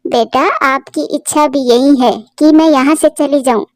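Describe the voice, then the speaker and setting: A woman's voice: high voice, fairly even intonation, moderate pace, dry recording, clean studio sound. A woman voicing a sorrowful, tender elderly mother bird in a cartoon, with a plaintive, emotional delivery. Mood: hurt, tearful.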